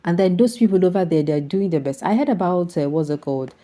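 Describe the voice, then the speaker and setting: A woman's voice: on the low side, expressive, quick pace, mellow. A woman with a Nigerian accent giving opinionated, off-the-cuff commentary, emphatic and full of rhetorical questions, sometimes slipping into Pidgin. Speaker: online political commentator.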